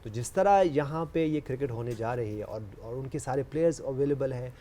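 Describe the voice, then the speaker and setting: A man's voice: mid-range voice, very fast, dark tone, a little hiss or hum. A man with a Pakistani accent, a native Urdu speaker, giving informal analysis as a sports pundit. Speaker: cricket panel pundit.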